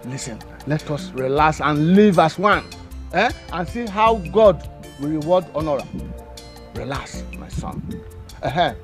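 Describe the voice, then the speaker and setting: A nigerian uncle telling his nephew off, kinda high voice. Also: expressive, unhurried, faint music behind. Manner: lecturing, fatherly. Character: stern older uncle, family elder.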